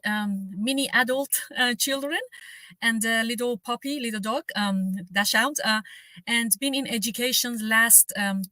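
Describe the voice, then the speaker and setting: A woman speaks upbeat on a live video call. Her voice is bright and fairly high, and the sound is close and dry with no room. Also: no room sound. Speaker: co-host.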